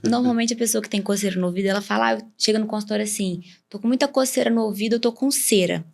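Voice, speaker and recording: Brazilian woman doctor explaining clearly. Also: medium pitch, fast, darkish tone. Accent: Brazilian Portuguese. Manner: didactic, clear explanations with simple comparisons. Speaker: ear, nose and throat doctor, guest. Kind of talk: explanatory interview talk.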